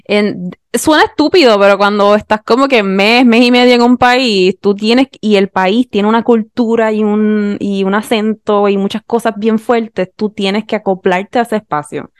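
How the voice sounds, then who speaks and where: Puerto rican lady on a podcast, clear smooth voice, dry sound, casual n expressive, drops english bits. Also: mid-range voice, normal speed, no room sound. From Puerto Rico. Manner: expressive, slips English phrases into Spanish. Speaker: podcast guest, musician. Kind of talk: casual chat, telling travel anecdotes.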